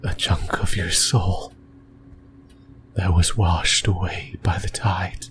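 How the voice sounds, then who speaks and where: Slow whispering male demon, acted by a man, low and creepy. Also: level tone, background noise. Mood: yearning. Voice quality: breathy whisper. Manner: slow, halting, rambling, intimate musing. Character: possessive, creepy male sleep paralysis demon, yearning and lonely. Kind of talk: whispered ASMR roleplay. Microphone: close microphone.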